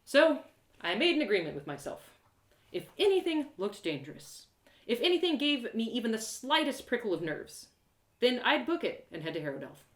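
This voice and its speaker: A woman narrating a first-person story in an animated, theatrical way, with dramatic pauses. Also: fairly high pitch, roomy sound. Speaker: storyteller performing an audio drama live.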